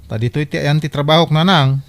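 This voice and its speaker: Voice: medium pitch, a little fast, gravelly, close to an everyday voice. An actor playing a grumbling, reluctant grown child in an Ilocano-accented radio comedy drama. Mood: protesting.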